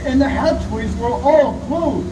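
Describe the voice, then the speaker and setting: Man as shaken sailor mate, high voice, distant and echoey in loud wind. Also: a little slow. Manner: urgent, shaken telling. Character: frightened sailor mate warning his captain. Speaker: community theatre actor. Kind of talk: live stage radio play. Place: outdoor stage in constant wind.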